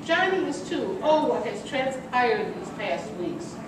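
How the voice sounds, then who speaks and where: Older lady acting stern worried army officer mom, amateur radio play, highish voice, super echoey. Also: sing-song, measured pace. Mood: reflective. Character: stern, anxious female military officer and devoted mother. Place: large echoing room, distant microphone. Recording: very echoey hall from far off, noisy.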